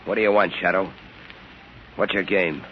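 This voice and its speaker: Low-voiced surly gangster played by a man. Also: fairly even intonation, moderate pace, noisy background, lightly acted. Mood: defiant. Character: surly defiant male gangster, hard-boiled. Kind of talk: 1930s radio acting. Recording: muffled 1930s radio recording.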